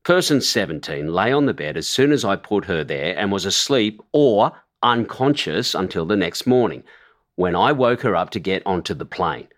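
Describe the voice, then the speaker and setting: A man's voice: deep voice, lively intonation, moderate pace. An actor playing a firm, indignant male witness, giving blunt denials in a re-enacted courtroom.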